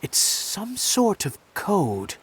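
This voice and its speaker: An actor playing a courteous, composed, analytical male detective in a video game, with formal, deliberate phrasing. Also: sits high, huge pitch swings, slight background noise, full character voice.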